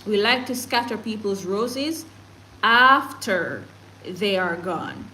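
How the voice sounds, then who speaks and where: A woman's voice: medium pitch, lively intonation, takes it slowly, bright, forceful tone, a little hiss or hum, poor audio. A woman from Jamaica with a Jamaican accent, hosting a casual livestream, chatting with viewers and speaking emphatically, often laughing and slipping into patois. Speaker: livestream news host. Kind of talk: casual livestream chat reading comments and news.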